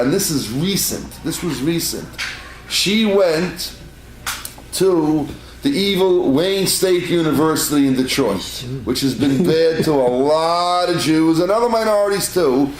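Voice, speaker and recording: A man's voice: a little high, lively intonation, measured pace, roomy sound, a little hiss or hum, poor audio. A man, a rabbi, giving an informal class to a congregation in a synagogue, telling stories in a chatty way and repeating words for emphasis. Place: synagogue room.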